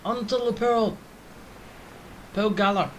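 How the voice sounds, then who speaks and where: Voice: mid-range voice, slow pace, slight background noise, low-quality recording. A gamer giving casual, rambling gameplay commentary, thinking aloud. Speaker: gaming streamer.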